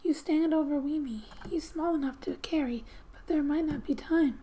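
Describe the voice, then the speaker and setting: A woman speaking softly and breathily in gentle ASMR style, often slipping into a whisper as she reads a game story aloud with casual asides. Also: high-pitched, normal speed. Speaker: gaming ASMR creator. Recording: quiet background music. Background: soft music.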